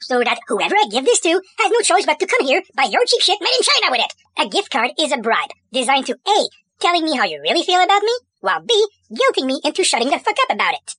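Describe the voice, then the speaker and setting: A woman's voice: high-pitched, sing-song, a bit bright. A woman delivering a sarcastic, biting comedic rant. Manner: sarcastic, biting, fast ranting.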